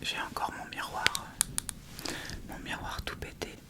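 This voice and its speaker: A woman whispering breathily and gently in a casual ASMR tutorial style, explaining step by step without hurry, close to the microphone. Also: unhurried. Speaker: ASMR video creator.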